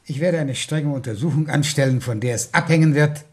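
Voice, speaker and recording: An actor playing an outraged, authoritarian middle-aged school headmaster in a radio play, blustering and formal. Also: male voice, a little high, brisk, close to an everyday voice.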